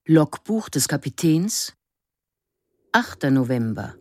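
A woman's voice: sits low, very animated, takes it slowly, darkish tone. A woman making scripted announcements of headings in a radio drama. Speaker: announcer of chapters and log dates.

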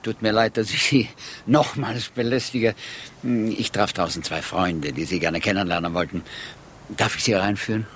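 A man acting in a German radio drama, playing a genial, eloquent English gentleman philosopher with a courteous, wry, discursive way of talking. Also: fairly low pitch, normal speed, a little hiss or hum, lightly acted. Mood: polite, courteous.

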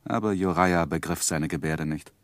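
Low-voiced, earnest male actor as a gentleman narrator. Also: no room sound, natural voice. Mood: anguished recollection. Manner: grave, measured, literary. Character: earnest gentleman narrating his own life story. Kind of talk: 1960s radio drama narration.

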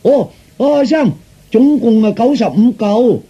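A brick-delivery workman in a comic radio play, blunt and chatty. His voice is pushed very high, loud and husky. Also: lively intonation, a little slow, dull audio, full character voice. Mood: blunt, puzzled. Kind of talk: Cantonese comic radio drama acting.

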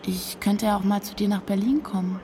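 A woman's voice: medium pitch, steady tone, fairly quick, mellow, slight background noise, natural voice. An actress playing a restless young woman in love, offhand and conversational, in a naturalistic radio drama. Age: young adult. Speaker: love interest character.

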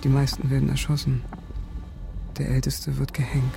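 An actor playing a haunted soldier in a sombre, restrained radio drama monologue, speaking in terse phrases. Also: male voice, medium pitch, completely flat delivery, normal speed, vocal fry, noisy background, natural voice. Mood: grim, numb. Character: haunted male soldier recalling war atrocities.

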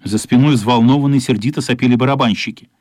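A man's voice: medium pitch, quick pace, a little mellow, dry recording, studio quality, lightly acted. A man narrating a children's radio play as a scripted storyteller.

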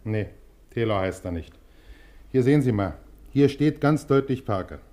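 An actor as a postman in a radio drama, a man with a dark, mellow voice at middling pitch. He talks colloquially and insistently, like a working man on his round. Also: expressive, normal speed, close to an everyday voice. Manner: colloquial, insistent, matter-of-fact. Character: plain-spoken, persistent postman on his round. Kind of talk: German radio play acting.

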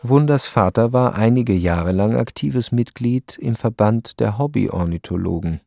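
A man narrating a radio play as a detached, precise storyteller, matter-of-fact and deadpan. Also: lowish voice, measured pace, creaky, dry recording.

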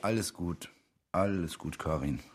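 An actor playing a laid-back, gruff working-class mate who tries to calm things down in terse, colloquial radio drama speech. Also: male voice, measured pace. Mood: placating. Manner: low-key, terse, colloquial. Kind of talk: naturalistic German radio drama acting. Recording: clear background ambience noise.

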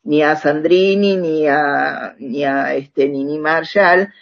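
A woman with an Argentine accent in a conversational radio interview, speaking reflectively and haltingly, often checking with a tag question. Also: deep voice, creaky, lo-fi sound, background noise. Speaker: author interviewed about radio drama.

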